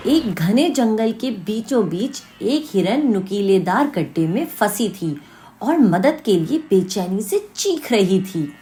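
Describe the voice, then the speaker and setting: A woman's voice: medium pitch, mellow, slight background noise. A woman narrating a scripted children's story as its storyteller. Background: music.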